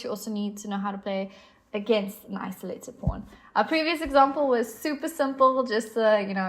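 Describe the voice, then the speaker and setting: Slow-talking South African woman teaching. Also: medium pitch. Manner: patient, explanatory, with fillers. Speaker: chess instructor. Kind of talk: explaining chess moves on a board.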